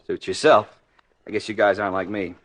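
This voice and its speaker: A man's voice: lowish voice, little pitch movement, a little fast, fairly bright tone. An American man acting a chatty, easygoing small-bar bartender in a 1950s radio drama, with a breezy, folksy manner. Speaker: bit-part actor in a radio drama.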